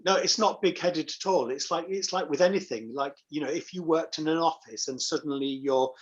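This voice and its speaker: A man's voice: fairly high pitch, brisk, dull audio. A middle-aged or older man chatting casually and enthusiastically as an interview guest, repeating phrases for emphasis. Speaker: pantomime dame, interview guest. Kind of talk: casual chat.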